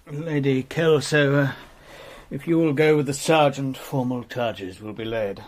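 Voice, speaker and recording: An actor playing a formal, stern senior police officer with a brusque manner in a radio crime drama. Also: male voice, medium pitch, takes it slowly.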